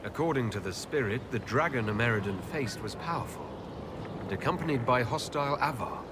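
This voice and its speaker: A man as a composed heroic leader, mid-pitched. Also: animated, brisk, heavy background noise, natural voice. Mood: serious. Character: composed male elf hero, inquiring leader of an inquisition. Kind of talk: video game dialogue acting.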